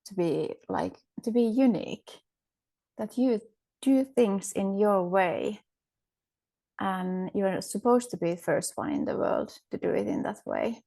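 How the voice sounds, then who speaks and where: A woman's voice: medium pitch, sing-song, unhurried, vocal fry, dark tone. A woman with a Finnish accent, a podcast guest speaking thoughtfully, often searching for words with fillers and restarts. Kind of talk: reflective interview conversation.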